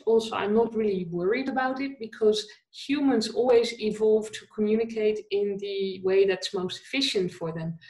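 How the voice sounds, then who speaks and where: A woman's voice: mid-range voice, darkish tone. A Dutch woman speaking English as a second language, a conversation designer giving thoughtful, engaged answers in a podcast interview. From Netherlands. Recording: roomy echo.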